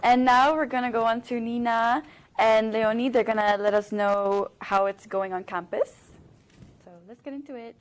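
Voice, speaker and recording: A young woman co-hosting a casual student chat, quick to agree. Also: on the high side, fairly even intonation. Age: young adult. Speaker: host.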